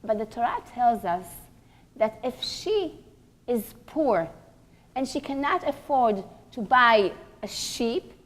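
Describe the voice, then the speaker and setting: Female religious teacher, higher voice, deliberate and emphatic lecture delivery with pauses between short phrases. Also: expressive. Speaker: religious teacher giving a class for women. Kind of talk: religious lecture with rhetorical questions.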